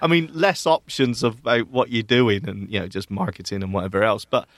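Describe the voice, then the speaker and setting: A man co-hosting a podcast, chatting casually and reviewing games in an animated, bantering way. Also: on the low side, brisk, no room sound, studio quality. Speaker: podcast co-host.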